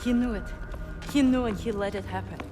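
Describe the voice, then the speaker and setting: A woman's voice: medium pitch, brisk, over music, lightly acted. An actress playing a fierce, urgent woman soldier in a video game, with a clipped, intense way of talking. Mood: bitter, urgent.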